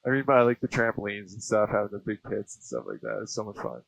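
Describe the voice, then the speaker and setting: A man's voice: lowish voice, big pitch swings, quick pace, creaky, fairly bright tone, no echo, low-quality recording. A Canadian man chatting casually as an interview guest, informal and slangy. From Saskatchewan, Canada. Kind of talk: casual chat. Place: small aircraft cockpit.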